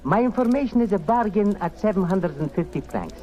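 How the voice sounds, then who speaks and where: Sly, swooping foreign scoundrel. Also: male voice, high-pitched, animated, brisk, warm tone. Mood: oily, insistent. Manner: wheedling, theatrical, ends demands with "no?". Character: sly, greedy underworld cafe proprietor, a charming male scoundrel. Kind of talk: old-time radio drama acting. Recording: noisy old radio recording.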